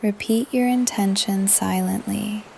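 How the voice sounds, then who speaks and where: A woman leading a guided meditation in a soft, calm, breathy voice that often trails into a whisper, with gentle pauses. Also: mid-range voice, slight background noise. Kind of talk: guided meditation, yoga nidra narration. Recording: ocean surf under the voice. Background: ocean waves ambience.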